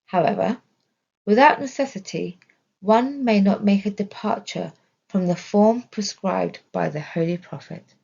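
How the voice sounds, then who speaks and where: A woman reads a scripted religious study text in a measured, deliberate way. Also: mid-range voice, gravelly, warm tone, breathy edge, lo-fi sound. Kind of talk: scripted narration, religious lesson.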